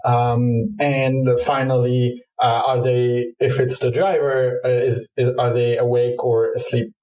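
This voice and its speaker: A man's voice: mid-range voice, flat delivery, measured pace, dark tone. Man in a roomy, distant recording. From Cairo, Egypt. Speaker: product manager, podcast guest. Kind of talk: interview answers, explanatory. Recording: roomy echo, distant from mic.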